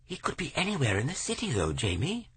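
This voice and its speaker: A man's voice: medium pitch, wildly swooping pitch, brisk, fairly bright tone, slightly rough, no room sound, voice pushed up, exaggerated voice. A man voicing a practical doctor who raises doubts in a storybook reading. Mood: doubtful.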